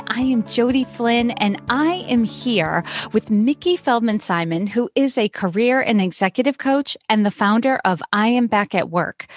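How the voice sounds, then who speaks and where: A woman's voice: mid-range voice, no echo, clean studio sound. A woman hosting a podcast, giving upbeat, scripted introductions and then asking questions. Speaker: podcast host.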